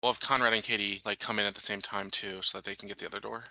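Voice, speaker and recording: A man's voice: on the low side, little pitch movement, racing, a loud crowd, low-quality recording. A man playing a tabletop role-playing game, chatting casually and thinking aloud in a tentative way. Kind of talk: casual chat.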